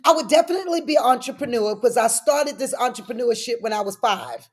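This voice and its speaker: A middle-aged woman giving animated, personal answers as an interview guest, speaking emphatically and repeating phrases to drive them home. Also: a little high, quick pace, husky. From born in Frankfurt, Germany; lived in New York City. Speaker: guest, daycare business owner.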